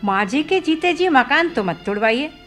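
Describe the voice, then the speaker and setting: An actress playing a frank, troubled working wife and mother in a family radio play, speaking in a measured, pointed way. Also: high voice, lively intonation, fairly bright tone, soft background music. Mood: frank, concerned. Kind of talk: Hindi radio play acting.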